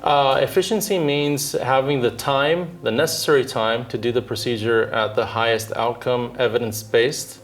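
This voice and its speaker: A man in his thirties from Southern California, a dentist answering interview questions in a measured, thoughtful way with frequent filler pauses. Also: mid-range voice, fairly even intonation, soft background music. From Southern California, United States. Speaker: dentist and orthodontist guest.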